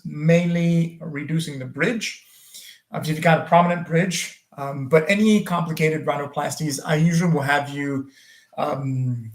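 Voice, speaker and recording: A man whose first language is French, a surgeon hosting a casual livestream Q&A, talking loosely with many ums and restarts. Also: a little high. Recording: roomy echo.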